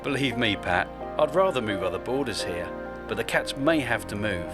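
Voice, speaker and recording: A man's voice: mid-range voice, expressive, moderate pace, over loud music, close to an everyday voice. A man playing a smitten, persuasive property developer in a radio drama. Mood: persuasive, flirtatious.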